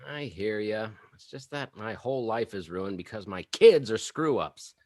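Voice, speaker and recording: A man's voice: on the low side, animated, moderate pace, darkish tone, dry recording, natural voice. An actor playing a petty, self-pitying suburban father, whiny and sarcastic, in a comedy table read. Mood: exasperated.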